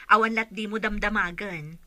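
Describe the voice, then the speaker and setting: A woman playing a piercingly bright Ilocano lot buyer. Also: mid-range voice, moderate pace, no room sound. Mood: teasing. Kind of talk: amateur Ilocano audio drama.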